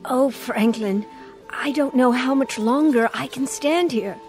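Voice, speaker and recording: An actress playing a timid, anxious wife in a radio drama, deferential and gently pleading. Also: female voice, high-pitched, measured pace, over music. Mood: meek, pleading.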